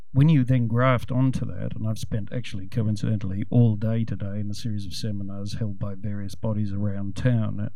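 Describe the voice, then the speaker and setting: A man's voice: on the low side, vocal fry, dark tone, dry recording. A man making an emphatic, formal and wordy comment from the audience at a public event, arguing in a rhetorical way. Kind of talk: impassioned argumentative public comment.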